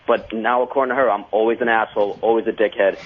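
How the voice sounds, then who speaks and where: A man's voice: lowish voice, fairly even intonation, fast, over a noisy crowd. Young man on a tinny phone. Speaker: caller. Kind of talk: casual call-in chat. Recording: muffled, narrow tinny phone line.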